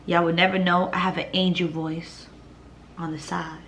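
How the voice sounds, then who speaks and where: A young woman in her twenties with a deep voice, chatting bluntly and profanely through a Q&A vlog at home. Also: little pitch movement, moderate pace, fairly bright tone, slight background noise. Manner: blunt, profane, laid-back with laughs. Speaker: vlogger answering viewer questions. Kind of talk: casual Q&A vlog. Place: living room at home, indoors.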